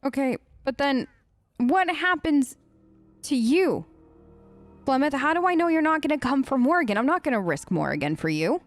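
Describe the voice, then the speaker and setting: A woman gamer hosting a role-play let's play, conversational, expressive and emphatic. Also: high-pitched, moderate pace, no echo. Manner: expressive, emphatic, incredulous asides. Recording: close dry mic, faint game music. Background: faint game music.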